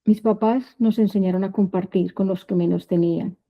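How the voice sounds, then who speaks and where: A woman in her fifties with a Medellín Colombian accent, telling her life story as a prepared talk in measured, short phrases. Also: medium pitch, steady tone, lo-fi sound. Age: 50s.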